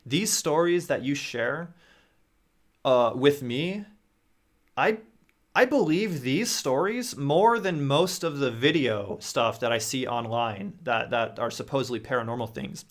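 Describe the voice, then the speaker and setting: A man's voice: mid-range voice, fairly bright tone. A young man hosting a livestream at home, reading viewer stories aloud into a close studio microphone with frequent restarts. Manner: reads aloud with repeated restarts and reactions. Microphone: studio mic on boom near face.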